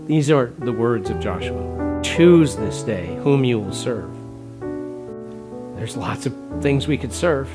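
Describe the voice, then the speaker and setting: A man preaching a stewardship sermon as pastor, measured and plain-spoken, working through numbers aloud. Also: mid-range voice, lively intonation. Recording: music bed underneath.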